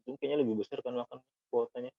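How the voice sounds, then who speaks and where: A man's voice: mid-range voice, steady tone, quick pace, creaky, no room sound. A man chatting in an easygoing way as a podcast guest over a video call. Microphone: video call audio. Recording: dull lo-fi call audio, dry.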